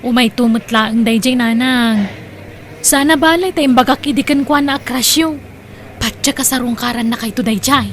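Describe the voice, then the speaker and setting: A woman's voice: on the high side, moderate pace, a little hiss or hum, lightly acted. A woman playing a bold, lovesick young woman who talks in a forward, dramatic way in a comedy radio-style drama. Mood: defensive.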